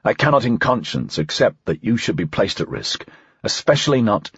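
A man's voice: fairly low pitch, very animated, normal speed, slightly rough, dry recording, muffled recording, heavily acted. A man voicing a weary, stern senior prefect in an audiobook, speaking in a terse, dry, formal way. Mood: earnest, firm. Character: weary, stern male senior police prefect, dryly sardonic. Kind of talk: audiobook character dialogue.

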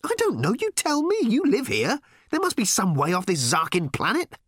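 A man doing Ford, a brisk, impatient alien. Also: high voice, huge pitch swings, fairly bright tone, slightly rough, no room sound, studio quality, voice pushed up, full character voice. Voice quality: brisk, pressing, blunt. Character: impatient, urgent alien man, blunt and fretful.

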